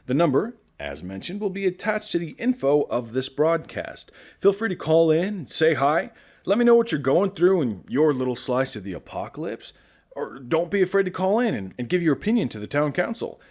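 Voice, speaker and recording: A man playing a chatty, stammering, friendly radio host in a post-apocalyptic audio drama. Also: on the high side. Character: nervous, friendly male radio show host after the apocalypse.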